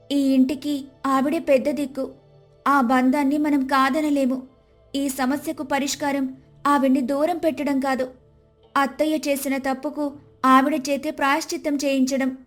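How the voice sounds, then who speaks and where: A woman plays a young daughter-in-law who has become a strict boss. Her voice is high, composed and commanding, in moral-story style with music underneath. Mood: earnest, firm. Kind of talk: dramatised Telugu moral story.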